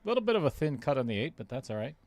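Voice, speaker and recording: A man's voice: mid-range voice, sing-song, very fast, darkish tone. A man doing casual play-by-play commentary on a pool match for a live stream. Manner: casual, chatty, reads viewer comments aloud.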